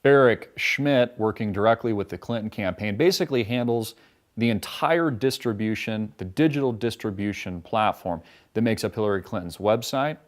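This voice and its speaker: A man's voice: fairly low pitch, moderate pace. A man, ranting sarcastically and combatively in profane political commentary.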